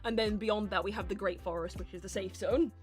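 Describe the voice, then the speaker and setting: A woman's voice: medium pitch, steady tone, brisk, soft background music. A woman chatting casually and animatedly as a show host, reading out audience questions and thinking through her answers aloud. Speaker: host and voice actor of a live character Q&A show.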